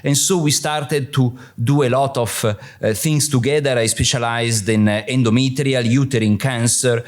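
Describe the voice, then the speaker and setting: A middle-aged man with an Italian accent, giving a conference panel talk as a surgeon, halting with many filler sounds. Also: medium pitch, steady tone. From Italy.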